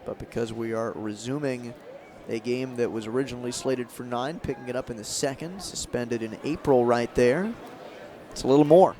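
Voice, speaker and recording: A man doing live radio play-by-play of a baseball game as the announcer, in measured calls with emphasis on key moments. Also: mid-range voice. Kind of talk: live radio sports commentary. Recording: crowd and ambient stadium noise behind. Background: ballpark crowd murmur.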